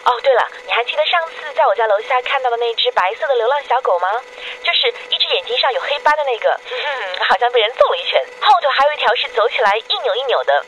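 A woman's voice: a little high, lively intonation, quick pace, few pauses, fairly bright tone. A woman playing a devoted, wistful young girlfriend leaving affectionate, confiding voicemails in a radio drama. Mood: fond, reminiscing. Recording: dull noisy answering-machine message.

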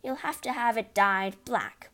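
A woman's voice: fairly high pitch, measured pace, bright, forceful tone. A woman voicing a scornful, scolding storybook mother, exasperated and apt to shriek at her husband.

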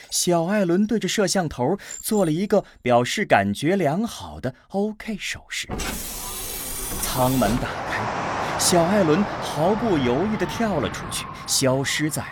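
A man's voice: on the high side, background noise. A man delivering dramatic scripted narration for a science-fiction radio drama. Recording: prominent music bed under voice. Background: music.

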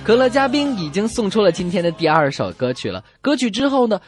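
A man hosting a comedy radio show, telling jokes in an animated back-and-forth style. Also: sits high, fast, soft background music. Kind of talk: animated joke telling with co-host.

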